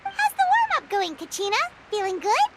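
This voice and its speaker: An actress voicing a tiny, chirpy companion creature in a video game, eager and chatty. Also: female voice, very high-pitched, normal speed, noisy background, heavily acted. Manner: excitable, chatty. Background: game music.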